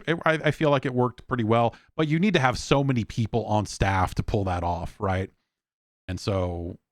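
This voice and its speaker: A man's voice: low voice, no echo, studio quality. A man hosting a podcast, telling rambling anecdotes in a casual, blunt, sweary conversational way. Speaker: podcast host, games journalist.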